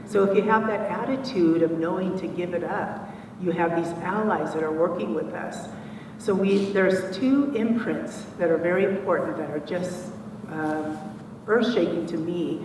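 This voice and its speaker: A woman's voice: lowish voice, moderate pace, far from the mic. A woman in her sixties, a children's author speaking earnestly on a conference panel. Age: 60s. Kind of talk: panel discussion remarks. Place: conference hall. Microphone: far from the mic. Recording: echoey hall recorded from afar, noisy.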